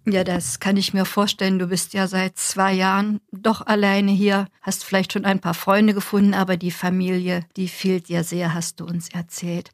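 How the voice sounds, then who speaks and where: A woman's voice: medium pitch, dry recording. A woman presenting radio news in a studio and interviewing a new volunteer in a friendly way.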